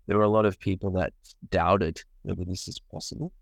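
Man, very low voice, dry close sound, earnest, halting speech, doctor from Sri Lanka. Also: steady tone, vocal fry, dry recording. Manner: earnest, with frequent pauses and fillers. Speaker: guest, medical doctor. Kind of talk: reflective interview answers.

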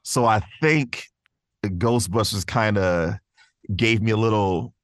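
A man's voice: on the low side, slow, fairly bright tone, dry recording, studio quality. A man chatting casually as an interview guest, rambling and enthusiastic, often saying "man" and "you know". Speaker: podcast guest, voice actor.

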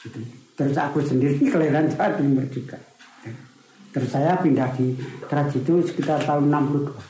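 Man in his 60s, Javanese accent, hesitant, full of fillers, dark tone, echoey room. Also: fairly high pitch, brisk, slight background noise. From East Java, Indonesia. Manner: hesitant, many fillers and restarts. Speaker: interviewee, retired village official. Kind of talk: casual reminiscing interview.

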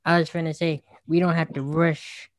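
Guy in his early twenties, agrees a lot and jumps in quick, dry recording. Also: fairly high pitch, little pitch movement, no echo. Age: 20s. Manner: agreeable, chimes in with quick backing remarks. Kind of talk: casual chat.

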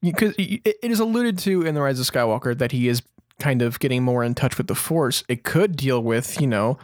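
A man's voice: mid-range voice, expressive, fairly quick, no echo, studio quality. A man co-hosting a podcast, chatting casually through news as an enthusiastic fan. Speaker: podcast co-host.

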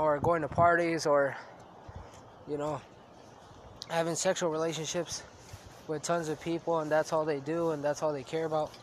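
A man with a cold hosts a solo podcast, sniffling as he talks. His voice sits a bit high for a man, and he rambles loosely with many fillers. Faint street and traffic noise hums underneath. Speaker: podcast host. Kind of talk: casual solo podcast talk.

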